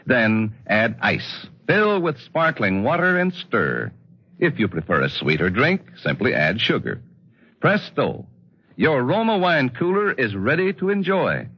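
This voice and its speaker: An American man delivers a vintage 1940s radio advertisement. His pitch swings dramatically as he stresses the selling points with polish. The voice has a slight husk, and the old recording is dull. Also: sing-song, a little slow, breathy edge. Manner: emphatic, polished salesman delivery. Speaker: commercial announcer.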